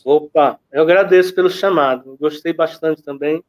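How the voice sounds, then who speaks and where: A man's voice: a little high, moderate pace. A Brazilian man speaking casually as a guest commentator on a programme. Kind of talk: casual conversational sign-off.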